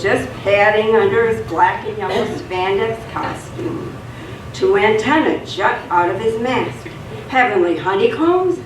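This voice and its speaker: Radio theatre narrator: scripted dramatic read, echoey and a little distant from the mic. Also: mid-range voice. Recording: echoey room, distant mic, faint noise.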